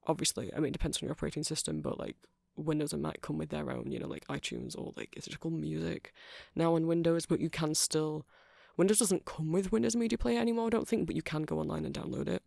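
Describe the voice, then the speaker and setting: A man's voice: on the high side, fast talker, gravelly, no room sound, clean studio sound. A man playing a nerdy vampire who keeps a music shop, rambling eagerly and apologetically in a casual ASMR roleplay. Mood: eager, helpful. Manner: hesitant, apologetic, excitable rambling.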